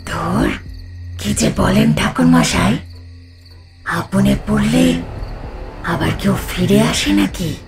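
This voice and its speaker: A woman playing a burnt, vengeful female ghost in a horror audio drama, raspy and hissing, taunting with mock respect. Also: fairly low pitch, wildly swooping pitch, slow, long pauses, music in the background, full character voice.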